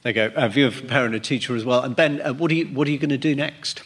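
A man's voice: medium pitch, rapid-fire, fairly bright tone, slightly rough. A man hosting a live political panel debate in front of a theatre audience. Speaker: host.

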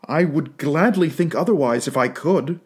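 A man voicing a gentle, sorrowful young woman who speaks gravely and steadily in a soft, pleading tone. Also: fairly high pitch, normal speed. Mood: tender.

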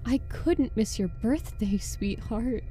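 Woman acting a slow, high-voiced undead mother, sweetly pleading. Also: animated, mellow, faint music behind. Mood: eerily tender. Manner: slow, coaxing endearments. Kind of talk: horror audio story acting.

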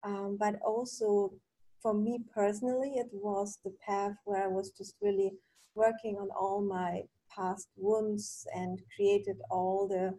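A woman in her thirties from Poland speaks slowly and hesitantly, full of ums and restarts. Her voice is mellow and a little husky, and the sound is dry. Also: medium pitch, expressive, no echo, rough recording. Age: 30s. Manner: hesitant, many ums, self-correcting. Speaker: podcast guest. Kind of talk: interview answers.

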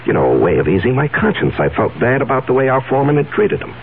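A man's voice: medium pitch, very animated, fast talker, vocal fry. An actor playing a nervous, evasive businessman in a 1970s radio drama, stammering and hesitant as he protests. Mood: evasive, flustered. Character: nervous, evasive, well-off businessman hiding a secret. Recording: tinny, noisy old radio broadcast.

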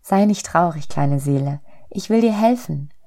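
Woman as a gentle, mellow friendly soul. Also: sits low, expressive, normal speed. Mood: kind, reassuring. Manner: gentle, reassuring, earnest. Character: kindly loving soul, gentle and reassuring helper.

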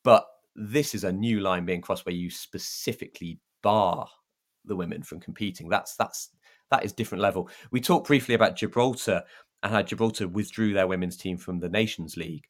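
A man with a very deep-pitched voice co-hosts a football podcast. He talks casually and conversationally, explaining his points in earnest. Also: brisk. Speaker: podcast co-host.

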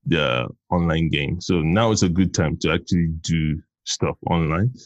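A man's voice: rumbling low, normal speed, no room sound, dull audio. A man hosting a podcast, talking casually and with enthusiasm to his guest. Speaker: podcast host.

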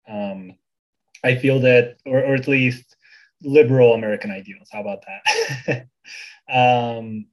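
Relaxed young man from Bulgaria. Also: mid-range voice, muffled recording. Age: 20s. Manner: relaxed, many fillers and restarts. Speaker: guest. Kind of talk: casual interview chat.

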